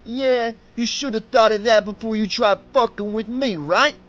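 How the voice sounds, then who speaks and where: A man's voice: very high voice, a little slow, dull audio, pitched above the performer's own voice. A man voicing a brutal, burly male street thug with a Spanish-accented English, harsh, profane and taunting. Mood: menacing. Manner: taunting, menacing, loud.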